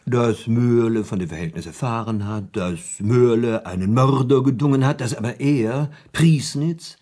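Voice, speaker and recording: An actor playing an eager storyteller in a German radio play, spinning out a crime plot with theatrical suspense. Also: male voice, mid-range voice, measured pace, mellow, natural voice. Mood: relishing, scheming. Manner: theatrical, suspenseful, acts out lines.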